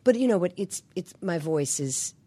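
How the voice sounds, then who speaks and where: A woman in her fifties, a stage actor with a smoky, husky voice, answering interview questions in a halting way with many restarts. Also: lowish voice, animated, mellow, no room sound. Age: 50s. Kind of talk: interview guest.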